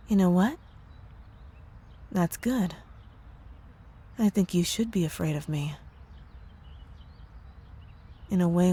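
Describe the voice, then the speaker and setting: A woman playing a possessive young witch girlfriend in an ASMR roleplay, cooing sweetly with drawn-out words and a menacing undertone. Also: medium pitch, warm tone, husky. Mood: seething, mocking. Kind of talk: ASMR roleplay audio drama.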